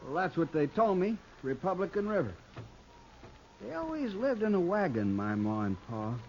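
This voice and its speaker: Whiny, dim outlaw, swooping high voice. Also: male voice, sing-song, normal speed, darkish tone. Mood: simple, folksy. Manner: drawling, simple-minded, folksy rural speech. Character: dim-witted, whiny, childish male outlaw sidekick. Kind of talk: old-time radio western acting. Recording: muffled, hissy vintage radio drama recording.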